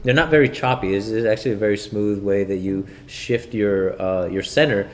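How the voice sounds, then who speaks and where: A man's voice: on the low side, a little fast. Easygoing male podcast co-host. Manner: easygoing, chatty. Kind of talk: casual podcast chat.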